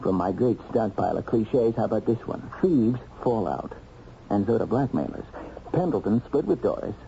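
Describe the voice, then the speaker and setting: An American actor playing a dogged, wry investigator in a radio mystery drama, probing and emphatic. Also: male voice, lowish voice, expressive, normal speed, creaky, mellow. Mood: confident, wry. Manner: probing, hesitant lead-ins, emphatic deductions. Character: dogged, wry male investigator cracking a murder case. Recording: dull, noisy old radio recording.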